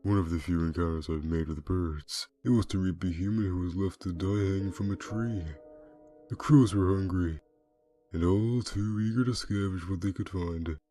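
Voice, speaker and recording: A man's voice: deep voice, fairly even intonation, normal speed, dark tone, soft background music. A man playing a laid-back, wry, pun-loving skeleton reaper in a comic dub, with a dry, drawling delivery. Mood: wry, teasing. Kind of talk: fan comic dub voice acting.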